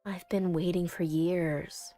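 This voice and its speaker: A woman's voice: on the low side, steady tone, slow, mellow, soft background music, voice pushed down. A woman voicing a wistful, devoted, earnest narrator of a visual novel love story. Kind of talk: visual novel read aloud.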